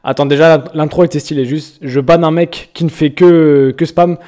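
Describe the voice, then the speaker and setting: A young man from France chatting casually on a live stream, joking and teasing viewers in slangy talk with sudden loud bursts. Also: fairly high pitch, fairly even intonation, moderate pace, faint music behind. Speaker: streamer reacting to viewers' music.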